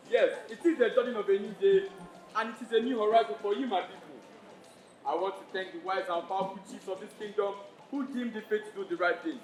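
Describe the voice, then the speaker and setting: A man playing a newly crowned, scheming king in a stage play, speaking in a declamatory, stately way. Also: high-pitched. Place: theatre stage. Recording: echoey stage hall, faint noise.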